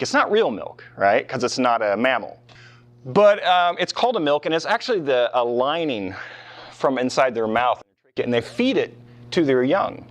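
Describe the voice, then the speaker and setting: Man giving an informal history lecture. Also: mid-range voice. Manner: conversational, rhetorical questions, casual asides. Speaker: professor lecturing. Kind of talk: informal classroom history lecture with questions to the students. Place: live talk in front of a small audience.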